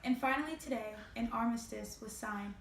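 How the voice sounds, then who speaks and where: A woman's voice: a little high, brisk, gravelly. A young woman delivering a scripted news report as a student reporter and interviewer. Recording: roomy echo with background noise.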